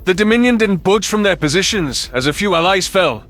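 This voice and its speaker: A man's voice: high-pitched, expressive, fairly quick, fairly bright tone, soft background music. A man reading scripted first-person science fiction narration as an audiobook narrator.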